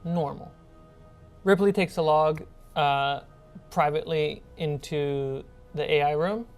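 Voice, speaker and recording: A woman's voice: deep voice, slow pace, a little mellow, clean tone. A woman narrating a tabletop horror role-playing game as game master. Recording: music bed under the voice. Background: background music.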